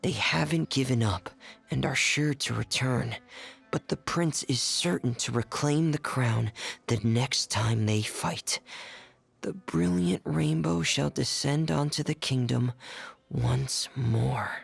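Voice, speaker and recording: Shy teen boy from a game, super creaky n breathy, kinda hesitant. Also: mid-range voice, slow pace, soft background music, full character voice. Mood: earnest. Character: shy, soft-spoken, earnest teenage boy. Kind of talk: video game voice acting.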